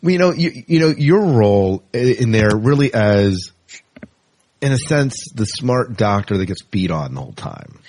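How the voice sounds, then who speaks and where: An American man with a husky, fairly low voice hosts a casual interview. His intonation moves around in a lively way as he rambles informally through his questions. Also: normal speed. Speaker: podcast host, interviewer.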